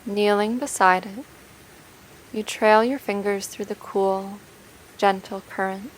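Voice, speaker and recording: A woman leads a guided meditation at a slow pace with gentle, soothing delivery. Her pitch stays very even from phrase to phrase. A soft, steady hiss sits behind the voice. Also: low-quality recording. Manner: soothing, gentle, unhurried with long pauses.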